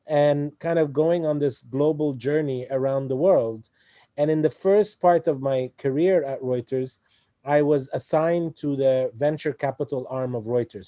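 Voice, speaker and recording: Very dark-voiced man, halting and thoughtful. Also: medium pitch, no room sound. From Egypt. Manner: hesitant, many fillers and restarts. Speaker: interview guest. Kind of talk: conversational interview.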